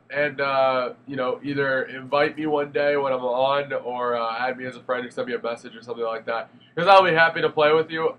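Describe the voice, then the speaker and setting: A man's voice: mid-range voice, dull audio, a little hiss or hum. A young man with a stuffy nose from a cold, giving a casual, rambling spoken review of a game with lots of fillers and restarts. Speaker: gaming video reviewer.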